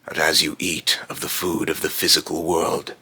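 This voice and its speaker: A man voicing a brooding otherworldly male spirit with a raspy voice, speaking solemnly and deliberately in a voice line recording. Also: extremely low pitch, level tone, pushed and bright, heavily acted. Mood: wistful, brooding.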